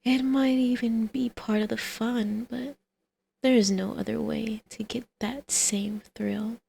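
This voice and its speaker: Woman playing a creaky-voiced, teasing, flirty villainess. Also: on the high side, steady tone, slow, dark tone, heavily acted. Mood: seductive persuasion. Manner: intimate, teasing, often dropping to a breathy whisper. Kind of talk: ASMR roleplay.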